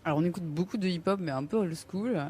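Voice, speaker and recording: A woman's voice: on the low side, quick pace, darkish tone. Tentative young woman guest. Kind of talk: TV studio conversation.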